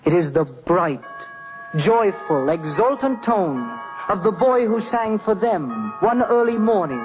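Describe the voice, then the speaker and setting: A man's voice: high-pitched, big pitch swings, measured pace. A man narrating a story in a poetic, reverent, theatrical 1950s radio style. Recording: muffled vintage 1950s radio, music underneath.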